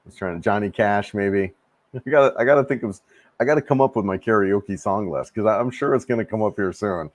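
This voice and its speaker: A man's voice: lowish voice, fairly quick, dry recording. A man in his fifties hosting a casual live stream, rambling and restarting sentences in a laid-back, friendly way as he answers chat. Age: 50s. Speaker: livestream host answering chat. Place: home room.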